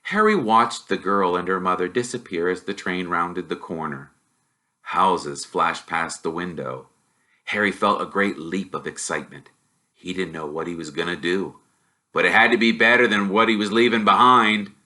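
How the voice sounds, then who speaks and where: A man narrating a storybook in an expressive, animated way, as someone who performs the characters. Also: sits low, moderate pace. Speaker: reader, teacher.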